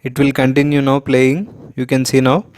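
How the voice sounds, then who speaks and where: A man's voice: medium pitch, flat delivery, normal speed, darkish tone. A man giving an unscripted product demonstration, explaining plainly step by step in short, simple phrases. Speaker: product reviewer.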